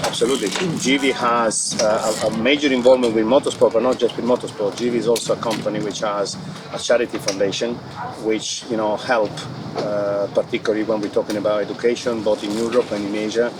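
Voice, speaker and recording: A man's voice: lowish voice, flat delivery, quick pace. Italian-accented man, far off, noisy outdoors. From Italy. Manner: earnest, repeats and restarts phrases, non-native grammar. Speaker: company executive interviewed. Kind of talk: outdoor event interview. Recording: far from the mic with loud background noise.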